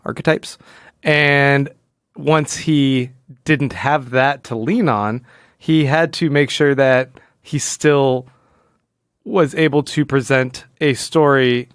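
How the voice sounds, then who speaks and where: Give this a man with a clean, smooth voice at a medium pitch, working through his thoughts as a podcast co-host. He speaks casually but analytically, often rewording mid-sentence, and the sound is close and dry. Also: steady tone, slow, a bit bright, no room sound.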